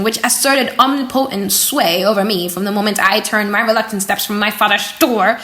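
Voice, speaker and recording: High-voiced woman reading dramatically, echoey room. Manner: theatrical, expressive. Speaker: audiobook reader. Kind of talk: dramatic, slightly silly audiobook narration.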